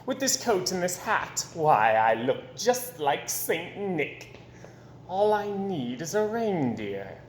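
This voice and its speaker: A man's voice: high voice, sing-song, slow, echoey room, slight background noise, heavily acted. A man voicing a sour, scheming, middle-aged monster from a storybook, snarling and sly. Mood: gleefully scheming. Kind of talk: storybook character voice.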